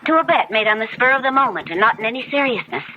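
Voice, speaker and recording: A woman performing a determined young woman in an old radio play. Her voice sits a little high and is earnest and direct, firm in its pleading. The broadcast is slightly muffled. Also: expressive, very fast, natural voice. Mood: defiant. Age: young adult. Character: earnest, strong-willed modern young woman. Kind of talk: 1970s radio drama acting. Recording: dull vintage radio broadcast.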